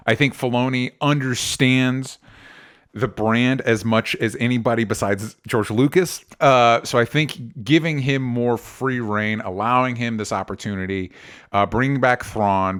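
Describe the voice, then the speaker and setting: A man's voice: medium pitch, fairly bright tone, clear, resonant tone. Rambling, opinionated male podcast host. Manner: rambling, opinionated, self-correcting, casual swearing. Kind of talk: casual pop culture discussion.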